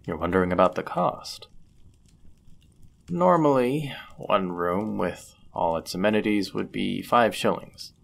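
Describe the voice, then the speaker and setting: A man acting a deep-voiced, courteous medieval innkeeper. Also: animated, gravelly, exaggerated voice. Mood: gentle, courteous. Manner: gentle, polite, measured, formal wording. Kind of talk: intimate roleplay audio acting, formal old-fashioned speech.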